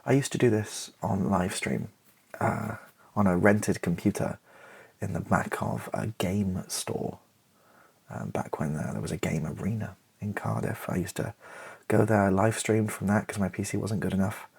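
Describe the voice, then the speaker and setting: A man chatting in a relaxed ASMR style, rambling and self-deprecating with a wry touch, his voice husky and creaky. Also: fairly low pitch, moderate pace. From Britain. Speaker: ASMR YouTuber and playwright. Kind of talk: relaxed ASMR-style casual chat to camera.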